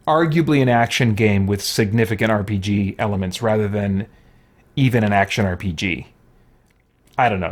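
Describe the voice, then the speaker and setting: A man giving casual gameplay commentary as a streamer, thinking aloud in a rambling, conversational way and chatting with viewers. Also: on the low side. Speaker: streamer, let's play host. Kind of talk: casual gameplay commentary, reading and answering chat.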